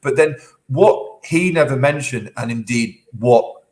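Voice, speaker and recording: A man's voice: mid-range voice, a little slow, a little mellow. A man co-hosting a podcast, talking in a rambling way with many fillers and restarts and scornful asides. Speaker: podcast co-host. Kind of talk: casual podcast commentary.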